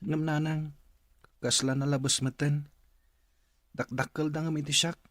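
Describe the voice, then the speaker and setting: An earnest, obedient son in an Ilocano radio drama. The voice sits fairly high for a male and pleads respectfully in an Ilocano accent. The sound is very dry. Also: flat delivery, measured pace, fairly bright tone, no room sound. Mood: pleading. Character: meek, pleading adopted boy, devoted to his parents. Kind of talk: Filipino radio drama acting.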